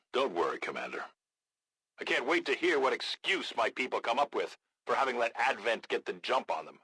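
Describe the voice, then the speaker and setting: An actor playing a gruff, blunt, battle-hardened resistance leader in a video game, with a gravelly voice and a measured, grave manner. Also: male voice, medium pitch, bright, forceful tone, no room sound, full character voice, rough recording. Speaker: military faction commander in a video game. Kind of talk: scripted video game voice acting.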